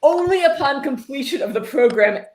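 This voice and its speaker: A woman's voice: high voice, expressive, normal speed. A woman hosting a casual stream, reading aloud and adding sarcastic, mock-dramatic commentary. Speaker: host reading a book aloud on a fundraising stream. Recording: roomy echo, faint noise.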